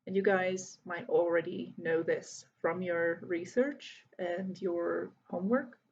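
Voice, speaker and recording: A woman in her thirties from Hungary, introducing herself slowly and carefully to students, with many pauses and fillers. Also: fairly low pitch, darkish tone, lo-fi sound, slight background noise. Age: 30s. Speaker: volunteer English teacher. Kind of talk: recorded self-introduction to students.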